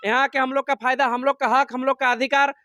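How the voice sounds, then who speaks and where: A man's voice: very high-pitched, level tone, fairly quick, pushed and bright, no room sound, studio quality, faint music behind. A man with a Jharkhand accent, a farm worker being interviewed, making an impassioned political appeal in an insistent, emphatic way with repeated phrases.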